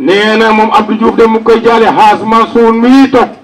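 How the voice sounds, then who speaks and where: A man's voice: extremely high pitch, flat delivery, normal speed, recorded from a distance, rough recording. A Senegalese man announcing condolences to mourners, relaying a message at an outdoor gathering.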